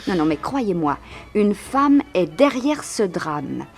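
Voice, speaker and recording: An actress playing a sharp, assertive young female detective's assistant in a French radio crime drama, speaking emphatically and with careful articulation. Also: lowish voice, very animated, slow, soft background music, full character voice. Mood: assured.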